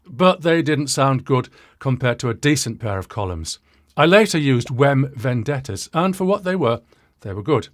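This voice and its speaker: Wry older British man, animated intonation. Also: medium pitch. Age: 60s. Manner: wry, self-deprecating, with dramatic pauses and asides. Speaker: presenter of an audio channel. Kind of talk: storytelling monologue to viewers.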